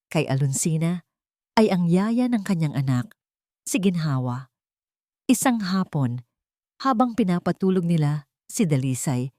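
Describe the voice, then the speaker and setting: A woman narrates a dramatic love story from a script. Her voice sits on the low side for a woman. The sound is dry and close, with hardly any room on it. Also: mellow, no echo.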